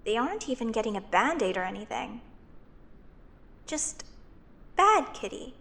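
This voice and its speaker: A woman's voice: a little high, measured pace, creaky, full character voice. A woman playing a friendly, teasing young female werecat in a comedic audio roleplay, talking to herself expressively with hesitant stammers. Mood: musing, mildly indignant. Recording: steady rain ambience noise behind voice. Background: rain ambience.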